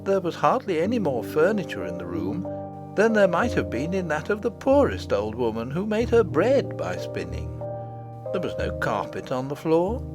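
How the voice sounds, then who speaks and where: A woman's voice: sits low, very animated, moderate pace, over music. A woman narrating an audiobook bedtime story in a scripted storytelling style.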